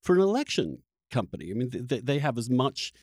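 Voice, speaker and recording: A man's voice: mid-range voice, very animated, fast, a little mellow, husky, dry recording, clean studio sound. A man hosting a debate-style interview, challenging his guest in a skeptical, insistent way. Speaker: podcast host and interviewer.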